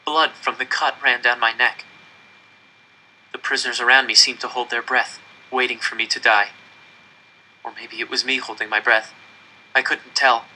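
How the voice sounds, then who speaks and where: A man's voice: mid-range voice, pushed and bright, poor audio. A man reading a first-person story aloud in an earnest, suspenseful narrating manner. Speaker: audiobook-style reader.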